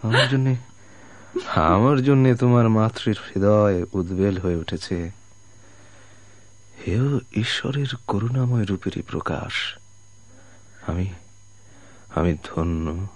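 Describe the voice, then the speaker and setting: An actor playing a broken, dying sailor who has returned home, gentle and self-sacrificing, in tragic radio drama style with halting, pleading, emotional delivery. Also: male voice, on the low side, no echo. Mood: resigned, tender.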